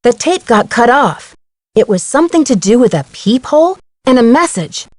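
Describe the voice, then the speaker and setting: Young female amateur sleuth, inquisitive and sincere, high-pitched, close dry game recording. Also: big pitch swings, moderate pace, a little mellow, dry recording. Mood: earnest, curious. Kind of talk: video game voice acting.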